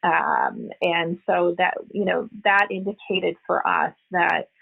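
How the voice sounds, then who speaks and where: Low husky woman on a phone line. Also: fairly even intonation, slow pace. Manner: earnest, explanatory, frequent hesitations. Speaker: HR executive, podcast guest. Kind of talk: conversational interview answers.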